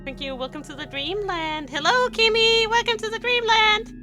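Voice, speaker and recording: A young woman streamer who speaks Danish natively, reading a visual novel aloud and chatting with viewers, giggly and excitable with sudden squeals. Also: very high voice, moderate pace, over music. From Denmark.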